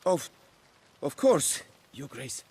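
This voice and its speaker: An actor playing a haughty but obsequious winemaker in a video game, with florid, deferential and easily flustered speech. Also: male voice, mid-range voice, very animated, takes it slowly, warm tone, husky, full character voice. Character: fussy, haughty male winemaker, obsequious to nobility.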